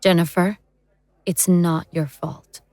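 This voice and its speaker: A woman playing a steady, comforting monster hunter in an audio drama, composed and reassuring. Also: lowish voice, takes it slowly, dry recording, clean studio sound. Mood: gently reassuring.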